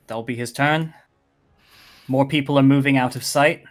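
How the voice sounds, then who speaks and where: A man's voice: mid-range voice, fairly even intonation, a little slow. A man running a tabletop game casually as game master. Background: music.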